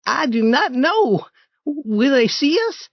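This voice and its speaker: An anxious young boy's voice, pitched well up and swinging widely as the fear builds, put on by a man. He talks slowly, with a breathy catch in his voice. The sound stays close and dry, with no room around it. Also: animated, no room sound, exaggerated voice. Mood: urgent. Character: frightened young boy, eager and anxious.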